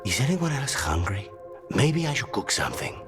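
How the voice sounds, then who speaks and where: An actor playing a dry, measured contract killer with a soft spot for cooking in a video game. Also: male voice, mid-range voice, very animated, over music, natural voice. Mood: dry, wry. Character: dry, professional contract killer who loves cooking and coffee. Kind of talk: video game cutscene acting.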